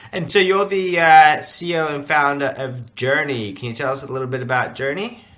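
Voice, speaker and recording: A man's voice: fairly high pitch, a little fast, fairly bright tone. A man hosting a podcast, talking in an upbeat, chatty way with many fillers during a remote interview. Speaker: podcast host. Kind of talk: casual interview chat.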